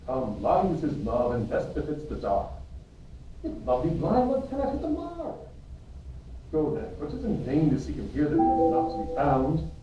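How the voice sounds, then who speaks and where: Man playing mocking young friend, swooping high-ish voice, Shakespearean banter, heavy echo. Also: wildly swooping pitch, normal speed. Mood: mocking, calling out. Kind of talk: Shakespearean verse drama reading. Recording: very echoey, distant, dull, music behind.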